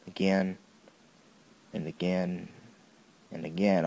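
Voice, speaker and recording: Man acting young Southern soldier, low-pitched, soft dark tone, folksy, halting, bitter, grieving, audio drama monologue. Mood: grief and anger. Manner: plain-spoken, folksy, halting storytelling.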